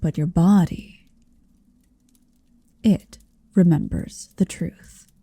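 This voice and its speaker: A woman voicing a towering, possessive female dragon in human form for an ASMR roleplay, speaking softly, often in a whisper, with a teasing, domineering manner. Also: fairly low pitch, dry recording, exaggerated voice. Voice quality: soft, breathy, often slipping into whisper. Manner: slow, deliberate, teasing and domineering.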